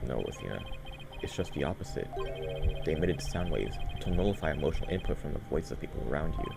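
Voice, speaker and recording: Man voicing a solemn, deep protective prosecutor. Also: sing-song, fast, vocal fry, loud music behind the voice, heavily acted. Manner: solemn, earnest. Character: grave, protective male prosecutor shielding a friend.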